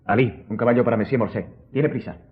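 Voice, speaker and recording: An actor playing a wealthy, courteous count in a radio drama, with a formal, self-possessed, authoritative manner. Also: male voice, mid-range voice, fast, slightly rough, natural voice. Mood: calm, concerned. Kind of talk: Spanish-language radio drama acting. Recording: muffled audio under music.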